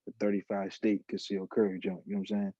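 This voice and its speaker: Deep-voiced D.C. rapper, dark and dry. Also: male voice, monotone, fast, no echo, lo-fi sound. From Washington, D.C. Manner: blunt, slangy storytelling with many "you know what I'm saying". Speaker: rapper guest. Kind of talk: casual podcast interview.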